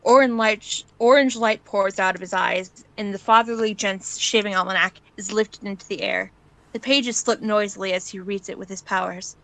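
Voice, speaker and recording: A woman's voice: mid-range voice, fairly even intonation, normal speed, fairly bright tone, no echo, low-quality recording. A woman narrating a piece of fan fiction in the second person, as an amateur reader.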